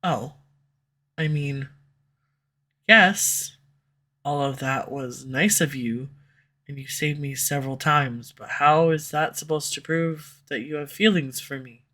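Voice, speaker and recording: A tsundere female villain who is torn between pride and love, her words coming out flustered and defensive before softening into tenderness, as a woman plays her. The tone is bright and forceful. It is an audio roleplay recorded close on a USB condenser microphone at home. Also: sits low, takes it slowly, pauses often, full character voice.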